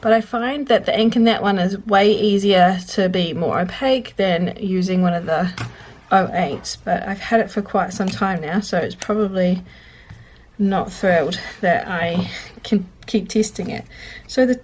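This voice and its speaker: A woman's voice: medium pitch, a little slow, darkish tone, poor audio. A woman with a mid-range voice who sounds a little stuffy and congested talks casually while she journals. She rambles, breaks off mid-thought and corrects herself. Faint hiss and small clicks sit behind her voice. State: congested, sniffly. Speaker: journaling vlogger. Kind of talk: chatty real-time journaling commentary. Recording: faint clicking and background noise.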